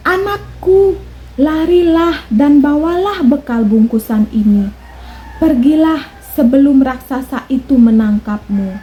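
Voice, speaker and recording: An old widow mother from a children's tale speaks in urgent, pleading tones, raised high above a plain speaking voice. A woman voices her with a mellow, soft edge. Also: expressive, takes it slowly, a little hiss or hum, rough recording. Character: anxious old widow mother, gentle and loving.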